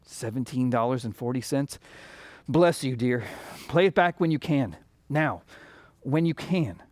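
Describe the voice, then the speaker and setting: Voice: mid-range voice, unhurried, darkish tone, no echo, close to an everyday voice. An actor playing an earnest, good-hearted young small-town banker in a radio drama, prone to flustered stammering. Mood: reassuring.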